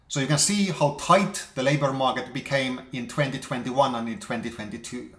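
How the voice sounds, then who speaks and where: Man presenting in an echoey room. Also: a little high. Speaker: investor presenting market outlook. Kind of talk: explanatory presentation with charts. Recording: roomy, echoey sound.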